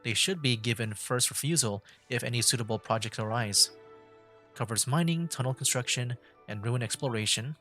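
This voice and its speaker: A man's voice: mid-range voice, moderate pace, fairly bright tone. A man streaming a story game, chatting casually and reading the on-screen text aloud, rambling and cutting himself off. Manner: rambling, self-interrupting, laughs at himself. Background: game music.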